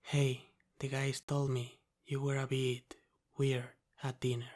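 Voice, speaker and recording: A man acts out an Italian-American mafia boss in an ASMR roleplay. His medium-pitched voice moves very slowly and deliberately, with little melody. He is calm, controlling and teasing, and he often slips into a menacing whisper. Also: a little hiss or hum, lightly acted. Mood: lightly teasing. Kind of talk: slow ASMR roleplay, intimate.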